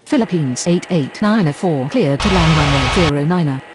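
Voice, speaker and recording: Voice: mid-range voice, animated, moderate pace, background noise, lightly acted. A performer voicing a procedural airport radio operator in a game, reading formal aviation phraseology. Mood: businesslike. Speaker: air traffic control radio voice.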